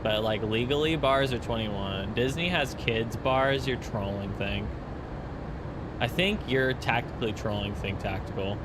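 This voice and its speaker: A man's voice: mid-range voice, unhurried, noisy background. A man in his early twenties chatting casually with his stream viewers while flying, with rambling asides. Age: 20s. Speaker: flight sim streamer.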